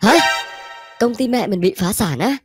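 A man voicing a smug, mocking young company boss in an animated comedy skit, condescending and theatrical, with music behind. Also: sits high, normal speed. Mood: shocked. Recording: background music under the voice.

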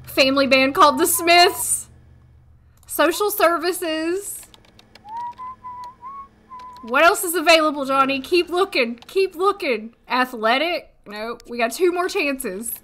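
A woman in her thirties, hoarse today, chatting casually and thinking aloud as she streams a life-sim game from a desk at home. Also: high voice, slow pace, long pauses, a bit bright. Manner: chatty, thinking aloud, frequent little laughs. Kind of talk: casual gameplay commentary. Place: home room, seated at desk.

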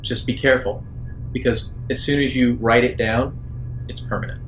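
A man narrating an improvised tabletop roleplaying game as game master, in a measured way with hesitant pauses. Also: mid-range voice, fairly even intonation. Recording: roomy echo, faint background noise.

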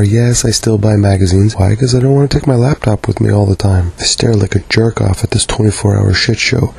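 A man in his thirties from Canada, delivering a scripted, profane, deadpan diary monologue in a living room. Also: on the low side, creaky, slightly rough. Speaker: video diarist.

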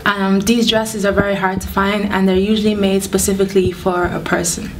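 A woman's voice: medium pitch, level tone, brisk, rough recording. A teenage girl explaining in a school library, earnest with some hesitations. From Nigeria family, lives in Ireland. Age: teens. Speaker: student presenter.

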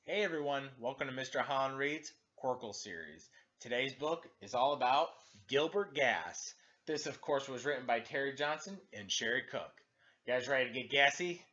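A man reads a picture book to children with animated, swooping intonation. He leans on words for emphasis, like a teacher at story time. The home recording sounds slightly dull. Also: mid-range voice, normal speed. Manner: expressive, exaggerated emphasis for children. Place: living room, indoors.

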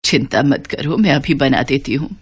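A woman voicing a weary, meek old mother-in-law in a cartoon story, speaking gently and plaintively. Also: a bass voice, normal speed, husky, voice pushed down, exaggerated voice.

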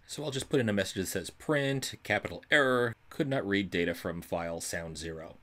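A man with a fairly low voice presents a programming screencast as an instructor. He explains each step in order, stresses the important terms and reassures the learner along the way. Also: expressive, fairly quick, a bit bright. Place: voice-over for a screen recording.

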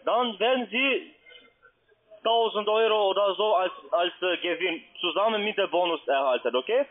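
A man with a foreign accent speaking non-native German as a call centre agent, insistent, halting and repeating himself in an unscripted sales pitch. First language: not German. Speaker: call centre agent posing as customer adviser. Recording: tinny band-limited phone line.

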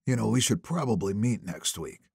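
A wealthy older man acted out by a male narrator speaks with courteous command in an audiobook. His voice is husky and creaky, in a very dry recording. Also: lowish voice, expressive, moderate pace, no echo, exaggerated voice. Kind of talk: audiobook character dialogue.

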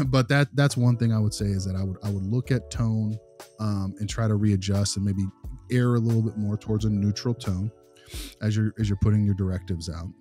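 A man playing a patient behavior consultant who gives feedback in a halting, rambling, reassuring, conversational way. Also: lowish voice, a little mellow, faint music behind, close to an everyday voice. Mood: tactful. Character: male behavior consultant, patient, diplomatic, encouraging. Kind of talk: conversational role-play of a consultation.

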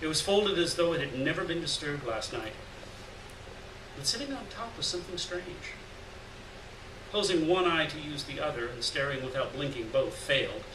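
A middle-aged man giving a dramatic, deliberate live reading of a literary story from a lectern. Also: a little high. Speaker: reader of short fiction. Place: indoors at a lectern in a gallery room. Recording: roomy, distant, noisy live room recording.

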